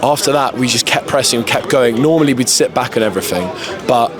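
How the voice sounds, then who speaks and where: Male fan interviewee, medium-pitched, chatting match analysis outdoors in crowd and traffic noise. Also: a little fast. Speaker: football fan being interviewed. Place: outdoors outside a stadium.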